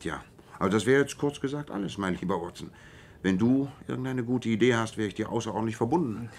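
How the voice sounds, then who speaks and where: An actor playing a shrewd, self-assured male detective in a radio play, explaining in a measured and precise way. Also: lowish voice, a little mellow, slight background noise, natural voice. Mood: analytical, assured. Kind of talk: German radio play dialogue.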